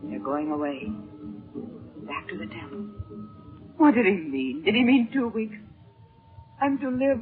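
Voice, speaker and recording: A woman's voice: medium pitch, lively intonation, unhurried, pauses often, close to an everyday voice. An actress playing a frightened captive woman, anxious and pleading in melodramatic 1930s radio style. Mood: anxious, fearful. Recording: tinny 1930s radio recording with music.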